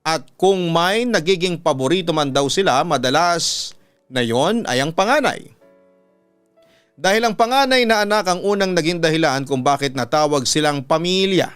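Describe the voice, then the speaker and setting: A man's voice: on the high side, a bit bright, smooth, no echo. A man with a Filipino accent, a radio announcer reading a listener's letter as a dramatic story. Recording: music behind the voice. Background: background music.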